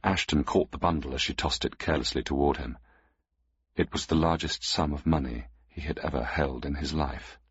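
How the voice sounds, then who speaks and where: Male narrator for an audio story; very deep, husky, muffled recording. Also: normal speed, no room sound.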